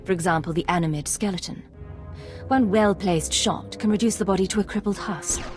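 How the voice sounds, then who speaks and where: A woman's voice: mid-range voice, fairly quick, faint music behind, natural voice. A woman playing an unflappable imperial secret agent in a video game, with a dry, controlled manner. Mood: cool threat.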